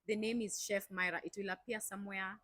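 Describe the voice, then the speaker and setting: A woman is a guest here, speaking calmly and in measured phrases. Her voice has a middle pitch and a dry, close sound. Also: dry recording. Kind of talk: casual chat.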